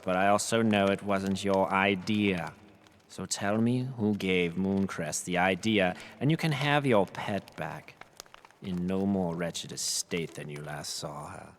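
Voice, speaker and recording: An actor playing a cruel, domineering nobleman with a sneering, commanding manner in a fantasy audio drama. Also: low-pitched. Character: cruel, domineering nobleman brother, cold and menacing. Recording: long reverb, clear background noise.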